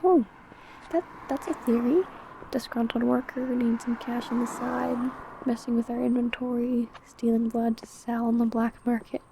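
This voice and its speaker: A woman playing a secretive, sly vampire coworker in an ASMR roleplay, chatting coyly to the listener in a hushed voice that drifts toward whispering. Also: a little high, fairly even intonation, moderate pace, gravelly, background noise. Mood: musing. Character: secretive female vampire coworker, older senior, sly and possessive.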